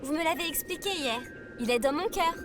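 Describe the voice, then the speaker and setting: A woman playing a caring, innocent companion in a French audio drama, polite, soothing and expressive. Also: sits high, brisk, over music, close to an everyday voice. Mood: delighted. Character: caring, innocent female companion, brightly enthusiastic. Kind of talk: scripted French audio fiction acting.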